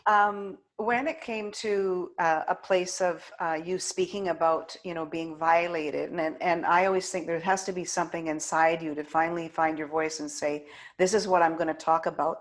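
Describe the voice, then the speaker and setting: A woman's voice: on the low side, steady tone, moderate pace, hardly any pauses. A woman interviewer asking questions hesitantly, with many fillers. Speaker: host, interviewer. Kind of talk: interview conversation.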